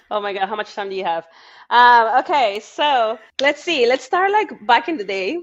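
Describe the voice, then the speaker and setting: Young peruvian woman w/ accent, chatty guest, does the voices when she quotes people, kinda dull audio. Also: measured pace. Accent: Peruvian Spanish. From Peru. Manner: animated storyteller, acts out quotes in a higher voice, laughs often. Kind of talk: casual podcast conversation.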